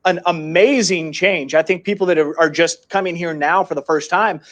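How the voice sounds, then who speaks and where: A man's voice: on the high side, steady tone, normal speed, fairly bright tone. A man with an American accent hosting an interview in a chatty, informal, conversational way. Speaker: interview host.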